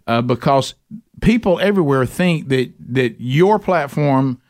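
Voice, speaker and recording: A man's voice: medium pitch, animated, a little slow, darkish tone. A man with a Southern US accent hosting a casual podcast, folksy and repeating phrases for emphasis. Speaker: podcast host.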